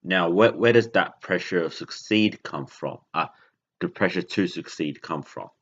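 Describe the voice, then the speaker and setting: Very deep-voiced man from Indonesia, halting. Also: expressive, a bit bright, husky. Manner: rambling, self-correcting, halting. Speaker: podcast host. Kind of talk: casual monologue. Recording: dull lo-fi recording.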